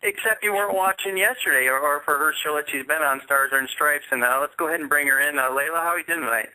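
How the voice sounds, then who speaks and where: Fast-talking man with a very bright, forceful voice. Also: on the high side, low-quality recording. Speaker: radio show host, interviewer. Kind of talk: conversational sports radio interview.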